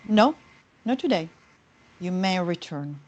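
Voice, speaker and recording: A woman's voice: on the low side, expressive, slow pace, a little mellow, low-quality recording. A woman playing a stern, aloof commune member in a screenplay read, speaking formally and withholding. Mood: cool, forbidding. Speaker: actor in a screenplay table read.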